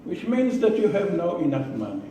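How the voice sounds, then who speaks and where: A man with an Israeli accent giving a prepared speech to a club, slow and deliberate, with pauses and repeated words. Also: high voice, wildly swooping pitch, dark tone, a little hiss or hum. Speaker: club speaker. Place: meeting room. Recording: echoey room from far off.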